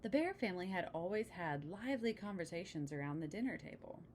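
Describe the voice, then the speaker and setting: Lady reading a kids book out loud, lowish voice, chatty asides about pictures, bit of room noise. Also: sing-song, fairly quick. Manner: conversational, explains pictures to young listeners. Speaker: read-aloud storyteller. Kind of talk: picture-book read-aloud with casual asides.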